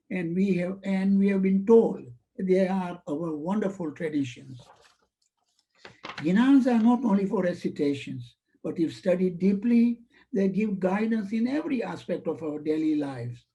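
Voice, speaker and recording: A man whose first language is Swahili delivers a formal vote of thanks in a ceremonious manner. His voice is strikingly bright and sits a little high for a man. He speaks over a webinar call. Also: low-quality recording. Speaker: vice chair giving vote of thanks.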